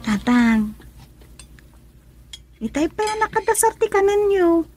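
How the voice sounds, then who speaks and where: A woman's voice: very high-pitched, sing-song, slow pace, a little mellow, faint music behind, heavily acted. A woman playing a caring, anxious young daughter in an Ilocano radio drama. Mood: concerned.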